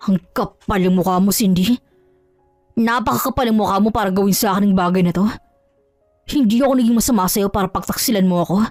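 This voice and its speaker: A woman's voice: medium pitch, fast, darkish tone, dry recording, soft background music, lightly acted. A woman voicing a betrayed husband who is bitter, angry and scornful, in melodramatic audio drama style. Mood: bitter accusing. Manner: accusing, scornful.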